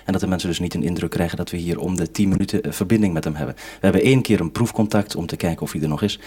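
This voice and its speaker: Low-voiced male radio reporter. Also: rapid-fire, dark tone, husky. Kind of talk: live radio link-up, calling and questioning. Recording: old radio recording with faint noise, clicks.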